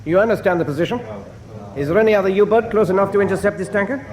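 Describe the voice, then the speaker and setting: An actor playing a stern, exacting German admiral in a radio drama, clipped, formal and commanding. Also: male voice, high voice, rapid-fire, a little mellow, lightly acted. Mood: curt, commanding. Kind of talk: full-cast radio drama acting.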